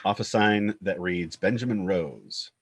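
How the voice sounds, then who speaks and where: A man's voice: low-pitched, unhurried, breathy edge. A man reading screenplay stage directions as narrator, in clipped, descriptive scripted phrases.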